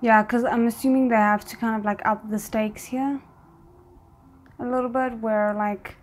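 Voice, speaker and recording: A woman giving casual reaction commentary, thinking aloud in an expressive way. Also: fairly high pitch, normal speed, a little hiss or hum. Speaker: reaction video host.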